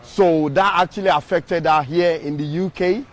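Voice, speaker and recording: A man with a slightly high voice answers a street interviewer, pausing often and filling gaps with "um" as he explains. He speaks into a handheld mic outdoors. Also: fairly even intonation. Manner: hesitant, many pauses and fillers. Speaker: interview guest. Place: outdoors on a city street outside a court. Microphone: handheld mic from the streamer.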